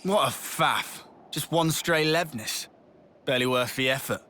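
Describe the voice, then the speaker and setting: An actor playing a blunt, easygoing young soldier with a British accent in a video game cutscene. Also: male voice, medium pitch, a little slow, bright, forceful tone, faint music behind. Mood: dismissive.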